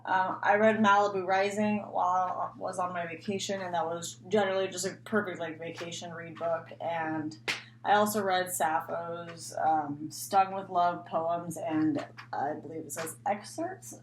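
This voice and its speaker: A woman's voice: lowish voice, fairly even intonation, a little slow. A woman talking casually and unscripted as a book vlogger, rambling and often interrupting herself. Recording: echoey room, faint background noise.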